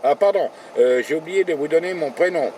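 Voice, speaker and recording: A man's voice: a little high, a little fast, a little mellow, slight background noise. A man working as a radio operator from a vehicle, calling and chatting in radio jargon with spelled-out call signs. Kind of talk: radio contact calls and chat. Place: in a vehicle, mobile station.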